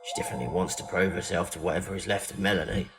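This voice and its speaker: A man's voice: low voice, fairly even intonation, racing, lightly acted. A man playing a friendly, plain-spoken pub landlord in an audio drama, informal and matey, with a worrier's streak. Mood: pensive. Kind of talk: audio drama acting with narrated reflections. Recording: voice over prominent background music.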